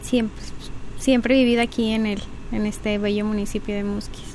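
A woman's voice: mid-range voice, flat delivery, normal speed, darkish tone, slight background noise. A woman, answering interview questions politely and a bit hesitantly, with frequent filler words. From Mexico. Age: 20s. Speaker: pageant candidate.

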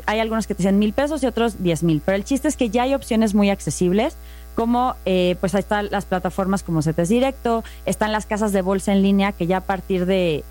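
A woman's voice: mid-range voice, a little mellow. A woman with a Mexican accent, explaining saving and investing in a patient, methodical chat, walking through worked examples. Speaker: finance guest.

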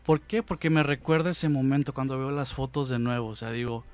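A man in his thirties with a Mexican accent, telling stories as a guest in a casual chat, animated and rambling. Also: medium pitch, brisk, faint music behind. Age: 30s. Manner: animated, rambling, filler-heavy. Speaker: guest, hobby photographer. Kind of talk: casual storytelling.

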